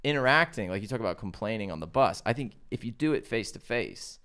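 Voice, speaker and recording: A man's voice: on the low side, lively intonation, fast, fairly bright tone. A man from San Diego, a comedian guest, telling stories in a rambling, casual podcast chat. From San Diego, California. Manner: storytelling, rambling with fillers.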